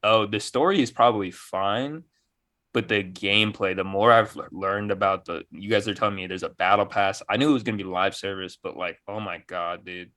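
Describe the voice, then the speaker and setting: A man's voice: on the low side, brisk, bright, forceful tone. A man in casual, profane podcast banter, ranting with sarcasm and exasperation. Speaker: gaming podcast panelist.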